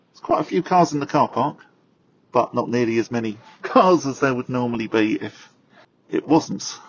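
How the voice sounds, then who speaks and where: A man's voice: medium pitch, dull audio. A man, a radio presenter, chatting casually as he narrates his arrival and then talks it over in the studio. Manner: chatty, self-deprecating, lots of fillers. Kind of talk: casual on-the-go commentary and studio chat. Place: in a car, then walking outdoors at a stadium.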